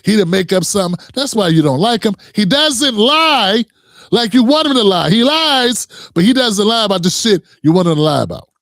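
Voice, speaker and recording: Commentary host, high male voice, heated and profane, dry close sound. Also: expressive, normal speed, a bit bright, no room sound. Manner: heated, emphatic, profane ranting; addresses viewers directly. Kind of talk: reaction commentary.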